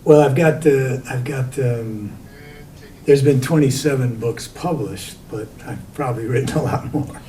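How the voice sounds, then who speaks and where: An older man, a poet guest speaking in a relaxed, reminiscing way. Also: medium pitch, measured pace, distant mic, slight background noise. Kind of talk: interview answers.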